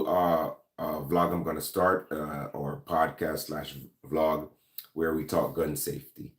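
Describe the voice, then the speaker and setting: Deep-voiced Jamaican man chatting live. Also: roomy sound. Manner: chatty, greets viewers by name, many ums. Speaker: host of a gun-talk livestream. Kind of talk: casual livestream chat. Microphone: laptop or camera mic.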